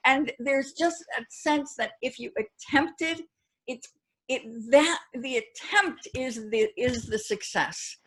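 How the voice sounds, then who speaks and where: A woman's voice: high-pitched, fairly bright tone. A woman poet hosting an online reading panel over a video call, speaking earnestly and reflectively with frequent restarts. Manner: earnest, halting with many restarts. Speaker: poet and event host.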